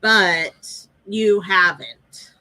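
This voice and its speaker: A woman's voice: mid-range voice, steady tone, very slow, pushed and bright, dry recording, low-quality recording. A woman co-hosting a casual live podcast chat, congested with sniffles, teasing her co-host and reading out comments.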